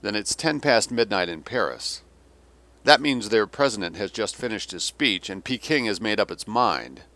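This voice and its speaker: A man's voice: lowish voice, lively intonation, moderate pace, sharp tone, dry recording. A man reading an audiobook as a weary, vulgar old Russian general, sardonic and theatrical, given to sneering rants. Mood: resigned, cynical. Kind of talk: dramatic audiobook character reading.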